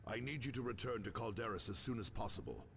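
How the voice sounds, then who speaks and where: An actor playing an authoritative, grave space marine captain who speaks formally in a video game transmission. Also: male voice, lowish voice, fast, vocal fry. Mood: measured, serious. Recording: game audio with faint noise.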